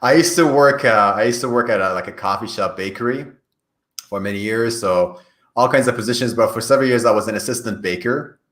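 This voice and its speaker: A man's voice: medium pitch, brisk, fairly bright tone. A man from Iran, a native Farsi speaker, giving earnest, explanatory answers in a conversational interview as a career coach. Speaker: career coach, podcast guest. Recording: echoey, reverberant room.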